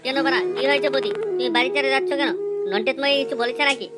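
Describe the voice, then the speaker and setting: A woman plays a concerned young village lad in a comedy cartoon. The voice is artificially high and very fast, familiar and inquisitive, with music clearly playing behind it. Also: lively intonation. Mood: curious, concerned. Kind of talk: amateur comedy cartoon dubbing. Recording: music under the voice.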